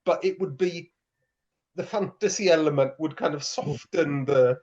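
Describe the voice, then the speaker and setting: Dry-witted high-voiced man. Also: moderate pace, a little mellow. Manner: dry, jokey, backchannels a lot. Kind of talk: casual film chat. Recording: dull lo-fi mic.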